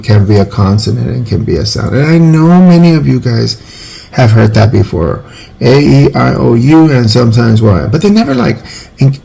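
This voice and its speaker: A man in his forties talks through a phonics lesson in a loose, rambling way and keeps correcting himself as he goes. His voice sits a little low and is heavily gravelly, with a husky edge. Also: dark tone. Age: 40s. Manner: rambling, self-correcting, informal. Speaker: teacher.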